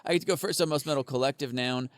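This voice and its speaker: A man's voice: medium pitch, very fast, dry recording. A man co-hosting a comedy podcast with casual banter and crude, irreverent jokes. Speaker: podcast co-host.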